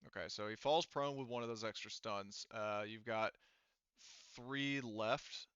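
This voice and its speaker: A man's voice: on the low side, measured pace, fairly bright tone, smooth, no echo. A man acting as game master, narrating a tabletop game in a casual, rambling, informal way. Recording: dry, slightly muffled voice mic.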